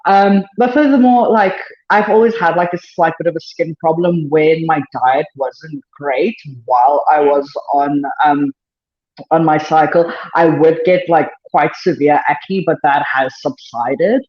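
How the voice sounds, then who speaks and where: A woman with a South African accent and a naturally deeper voice, talking candidly and self-deprecatingly as a podcast guest, rushing through thoughts with many fillers. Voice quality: deeper than usual voice. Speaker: powerlifter guest. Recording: echoey room, dull lo-fi sound.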